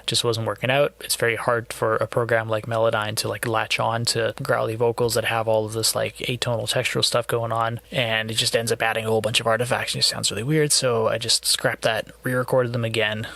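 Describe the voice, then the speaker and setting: A man's voice: mid-range voice, vocal fry, fairly bright tone, no room sound. A man giving a casual, loose tutorial as a music producer, informal and a little self-deprecating.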